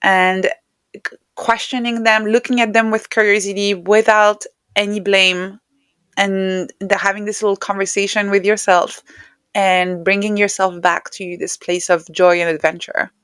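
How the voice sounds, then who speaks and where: A woman's voice: mid-range voice, level tone, measured pace, fairly bright tone, smooth, dry recording, clean studio sound. A woman speaking English as a second language, answering reflectively as a guest in an interview conversation. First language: not English. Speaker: podcast guest, writer and neuroscientist.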